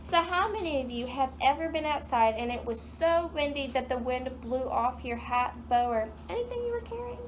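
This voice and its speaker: High-voiced woman reading to children. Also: a little hiss or hum, rough recording. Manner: animated, pausing to question young listeners. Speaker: read-aloud storyteller. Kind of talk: expressive children's picture book read-aloud.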